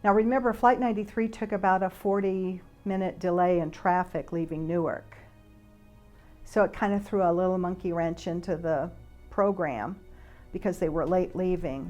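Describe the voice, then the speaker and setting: A woman giving an informal explanatory monologue in a conversational, speculative way, halting with pauses. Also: on the low side, a little mellow. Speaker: speaker, flight attendant and author.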